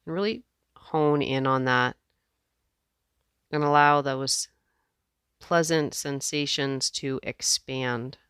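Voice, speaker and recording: A woman teacher leading a guided meditation in a gentle, measured way, leaving space between phrases. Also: extremely low pitch, clean tone, no room sound, studio quality.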